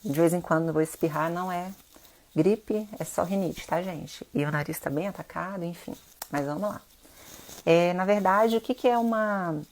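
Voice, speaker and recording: Low-voiced, stuffy-nosed Brazilian woman. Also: moderate pace, darkish tone. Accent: Brazilian Portuguese. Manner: chatty, addresses viewers directly. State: nasal from rhinitis. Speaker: interior designer hosting a live stream. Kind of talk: casual live-stream talk.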